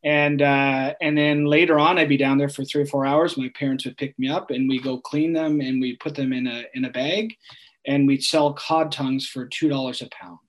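A man, chatting casually as a guest in an interview, relaxed, with frequent laughs and many fillers. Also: mid-range voice, moderate pace. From Newfoundland, Canada. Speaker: food bank guest.

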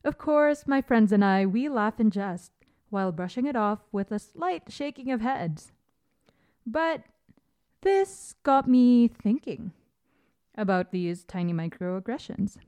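A woman's voice: on the high side, lively intonation, takes it slowly, a little mellow, smooth. A young woman speaking as a podcast host, introducing and interviewing in an articulate, composed way.

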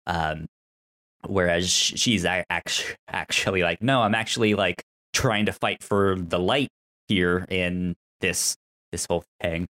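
A man co-hosting a casual podcast discussion, explaining things haltingly and stuttering on words with repeated syllables. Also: deep voice, pushed and bright. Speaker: podcast host.

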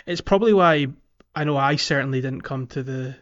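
A man's voice: medium pitch, fairly quick. A man co-hosting a podcast in casual game discussion, often correcting his phrasing as he goes. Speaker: podcast co-host. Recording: muffled lo-fi audio.

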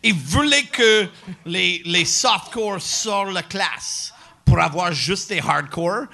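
High, gravelly, slow-talking man with an English accent. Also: expressive, sharp tone. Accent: English-speaker's accent in French. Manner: mixes English into French, swears, halting. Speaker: comedian guest. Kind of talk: casual podcast banter.

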